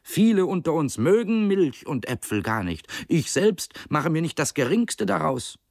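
Guy doing a glib self-righteous pig in a storybook, way high voice, pleading and speechifying. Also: big pitch swings, a little slow, creaky, darkish tone, dry recording, clean studio sound, exaggerated voice. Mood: earnest, persuasive. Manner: oratorical, insistent, pleading. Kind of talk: dramatised audiobook reading of an animal fable.

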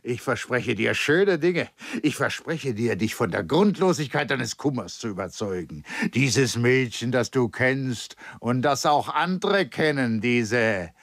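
A man's voice: medium pitch, animated, unhurried, a bit bright, no echo, voice pushed up. An overbearing stage magician with a wheedling, theatrical way of talking, as a male audiobook reader puts him on. He pushes his voice upward as he coaxes and insists. The sound is dry and close.

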